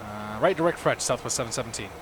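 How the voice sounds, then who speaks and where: A hoarse man hosting a livestream, chatting casually and talking himself through each cockpit step as he flies a simulator. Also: mid-range voice, quick pace, noisy background. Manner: talks himself through cockpit steps, chats with viewers. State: losing his voice, hoarse. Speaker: livestream host. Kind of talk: casual commentary while flying a simulator.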